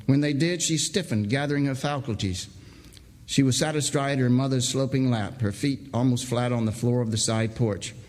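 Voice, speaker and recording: A man giving a deliberate, dramatic staged reading of literary fiction. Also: medium pitch, a little mellow. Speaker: story reader. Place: indoor hall, live event. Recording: reverberant hall, live stage reading.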